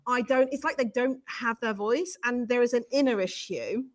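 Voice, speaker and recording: A woman's voice: on the high side, normal speed. A woman with a British accent hosting a live video-call broadcast. She rambles in a bubbly way and plays with her words. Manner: bubbly, rambling, playful word slips. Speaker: host. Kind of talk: casual live-stream interview.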